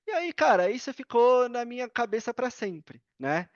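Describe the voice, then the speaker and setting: A man with a notably high voice and a Brazilian accent tells personal stories in a casual interview. He drops in slangy asides and acts out bits of dialogue. The sound is dry and somewhat dull, like lo-fi podcast audio. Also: animated, normal speed, no echo. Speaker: podcast guest.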